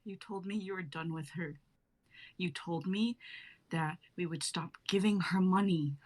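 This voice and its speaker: A woman's voice: fairly low pitch, a little slow. Woman reading as a betrayed, accusing wife. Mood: bitter, accusing. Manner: accusing, bitter. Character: hurt, betrayed young wife confronting husband. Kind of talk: live-streamed script reading.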